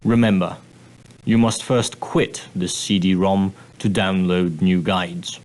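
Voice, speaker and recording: An actor playing a prim, straight-laced ship's steward in an adventure game, speaking formally with dry, condescending politeness. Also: male voice, low voice, little pitch movement, takes it slowly, a little mellow. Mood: formal, courteous. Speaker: steward and guide on an ocean liner. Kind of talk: scripted adventure game character dialogue.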